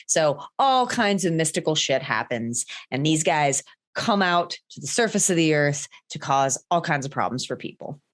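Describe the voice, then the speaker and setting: A woman's voice: low-pitched, expressive, moderate pace. A woman, co-hosting a podcast and telling folklore in a laid-back, chatty way, often swearing and laughing. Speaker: podcast co-host. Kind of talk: casual storytelling chat.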